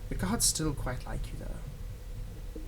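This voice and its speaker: A man playing a manipulative, soothing male villain in an ASMR roleplay, speaking in a soft, coaxing and dramatic way with deliberate pauses. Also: fairly high pitch, expressive, gravelly, noisy background, heavily acted. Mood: gentle, reassuring. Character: manipulative, soothing male supervillain, tenderly possessive of his captive.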